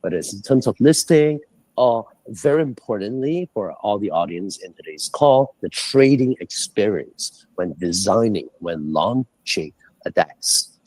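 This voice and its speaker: A man from Singapore speaks as a guest over a remote call, with a somewhat low, husky voice. His pitch swings widely as he explains, lifting on the points he stresses. The sound is dry and close, with no room around it. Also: measured pace, dry recording, rough recording. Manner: animated, explanatory, pitch jumping on numbers and key points. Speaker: guest, head of global strategy. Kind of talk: livestream interview talk. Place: indoors, calling in from abroad.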